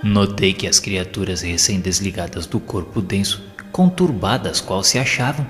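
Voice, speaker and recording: A man's voice: sits low, normal speed. A Brazilian man reading a literary audio novel as narrator, with formal, solemn diction. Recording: long reverb, prominent background music. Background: music.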